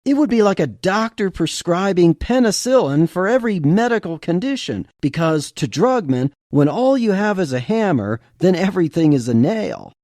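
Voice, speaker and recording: A man's voice: high voice, big pitch swings, moderate pace, hardly any pauses, a little mellow, dry recording, clean studio sound. A man reading narration for a fiction podcast, argumentative and rhetorical. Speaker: podcast reader.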